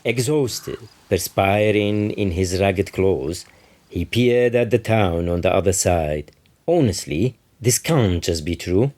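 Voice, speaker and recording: A man's voice: deep voice, lively intonation, takes it slowly, darkish tone. A man, the author, reading a literary extract from his novel as narration.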